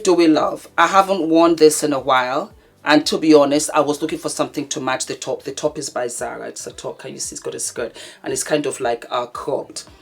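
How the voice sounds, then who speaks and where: A woman in her fifties hosting a casual advice vlog to camera at home, with theatrical, affectionate greetings and laughs. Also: low voice. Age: 50s. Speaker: vlogger host. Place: home, indoors. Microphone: camera mic.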